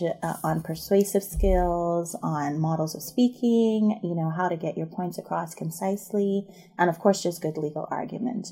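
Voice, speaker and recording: Articulate woman in her 30s, raised in the Bahamas; relaxed, dark timbre, slightly low pitch. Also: creaky, slightly rough. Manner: articulate, upbeat, explanatory. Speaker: podcast guest, MBA student and barrister. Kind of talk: conversational interview.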